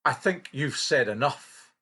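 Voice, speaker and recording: An actor playing a reasonable, concerned helpline volunteer in a naturalistic radio play, measured and quietly persistent. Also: male voice, medium pitch, animated, slightly rough, heavily acted. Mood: protesting.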